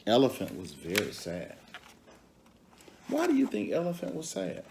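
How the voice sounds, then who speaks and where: A man reading a children's story aloud in an expressive way, with asides to his listeners. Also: medium pitch, vocal fry, background noise. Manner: expressive, pauses to question and comment to the young audience. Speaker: storybook reader.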